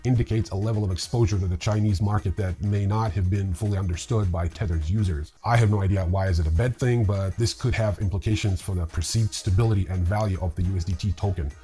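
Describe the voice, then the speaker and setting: A man in his fifties with a husky voice, hosting a news show with scripted commentary and personal asides. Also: low voice, steady tone, fast talker, few pauses, dark tone, faint music behind. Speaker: host of a cryptocurrency news video series. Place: small indoor room lined with bookshelves.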